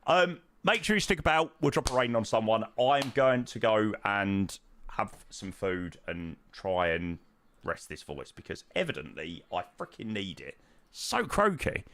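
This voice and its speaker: A man with a hoarse, croaky voice, chatting casually to his stream audience and rambling with lots of ums. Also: fairly low pitch, very animated, a little slow, a bit bright, dry recording. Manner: rambling, self-interrupting, frequent ums. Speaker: flight sim streamer.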